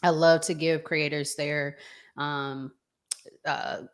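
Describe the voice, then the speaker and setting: Slow, low-voiced woman hosting. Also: clear, resonant tone. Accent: Southern American. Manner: relaxed, chatty, with frequent fillers. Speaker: host. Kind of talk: casual chat.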